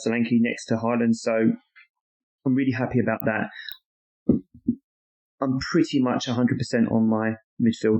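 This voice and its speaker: A man's voice: mid-range voice, darkish tone. A man co-hosting a podcast, chatting casually and confidently with fillers. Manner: confident, lists his picks with many fillers. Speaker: podcast co-host. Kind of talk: casual chat. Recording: lo-fi echoey room.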